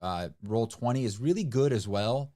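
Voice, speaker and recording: A man's voice: medium pitch, animated, moderate pace, dark tone. A man co-hosting a livestream, chatting casually and rambling with lots of fillers and restarts. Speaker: livestream co-host. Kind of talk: casual stream chat.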